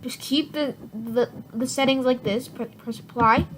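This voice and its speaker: A woman giving a casual, unscripted software tutorial in an informal, rambling way, often correcting herself. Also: on the high side, fairly even intonation, a little slow. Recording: noisy home recording with clicks.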